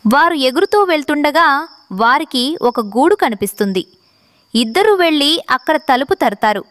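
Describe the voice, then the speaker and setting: A woman's voice: sits high, animated, normal speed, a bit bright, dry recording. A woman reading scripted narration for a children's story.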